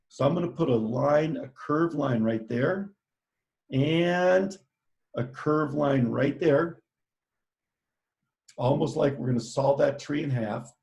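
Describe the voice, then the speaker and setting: A man's voice: on the high side, vocal fry, darkish tone. Upbeat art teacher guy, joking around, walking through each step of the drawing. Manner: upbeat, playful, step-by-step instructions. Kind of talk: live online drawing lesson.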